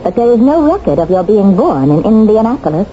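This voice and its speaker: A woman's voice: medium pitch, very animated, fast talker, warm tone, lightly acted. An actress playing an intense, emotional young woman set on avenging herself on her torturer, in 1970s radio drama style. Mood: tense. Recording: muffled old radio broadcast, faint hiss.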